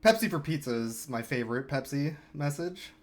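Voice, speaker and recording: A man's voice: on the high side, lively intonation, normal speed, slight background noise. A young man casually commentating his speedrun of a game, explaining tricks with wry, self-mocking asides. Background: game music.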